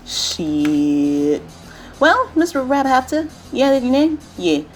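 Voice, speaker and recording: A woman voicing a brash, cocky breakdance fighter who trash-talks in slang in an animated fight show. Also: high-pitched, takes it slowly, faint music behind, exaggerated voice. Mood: cocky taunting. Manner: trash-talking, slangy, swaggering.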